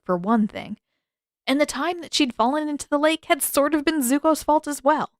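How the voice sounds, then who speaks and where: A woman reading scripted fiction as a narrator, with expressive, dramatic emphasis. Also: high-pitched, no room sound, studio quality. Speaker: audiobook-style fan fiction reader.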